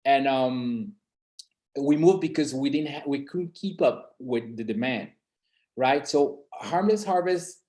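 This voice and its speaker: A man's voice: medium pitch, takes it slowly, darkish tone. A middle-aged man from Colombia's San Andres islands giving an informal webinar presentation, hesitant, with many fillers and restarts. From San Andres and Providence, Colombia.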